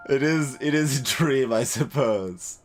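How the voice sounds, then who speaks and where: A man doing casual live game commentary as a streamer, thinking aloud in a halting, wry way. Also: mid-range voice. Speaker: let's play streamer. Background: game music.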